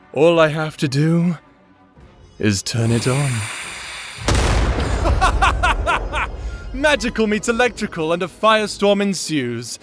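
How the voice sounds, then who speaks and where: A vengeful necromancer for an audio drama, theatrical n taunting, slow delivery, pitch all over the place. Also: male voice. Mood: gloating. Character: vengeful male necromancer, manic, gleefully sadistic escaped prisoner. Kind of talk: dramatic audio roleplay acting.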